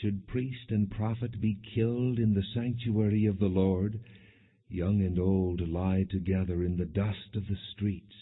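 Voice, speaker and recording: A man narrating an audiobook of scripture in a solemn, measured, grave and expressive reading style. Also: deep voice, slightly rough. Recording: muffled, phone-like narrow-band audio.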